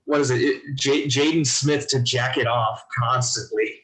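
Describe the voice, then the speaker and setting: A man's voice: medium pitch, fairly even intonation, moderate pace, fairly bright tone, a little hiss or hum, low-quality recording. A man playing a trivia game, guessing hesitantly and stumbling over fillers. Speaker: game show contestant. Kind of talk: casual chat.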